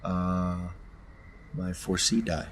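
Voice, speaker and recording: A man playing a tabletop game, talking casually through his actions in a deliberate, explanatory way. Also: deep voice, monotone, a little hiss or hum.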